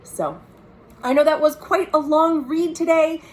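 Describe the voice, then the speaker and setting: A woman's voice: high-pitched, steady tone, a little slow, darkish tone. An American woman reading a picture book to young children, explaining it simply and expressively as a librarian at storytime. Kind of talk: children's picture-book read-aloud with commentary. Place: recorded at home.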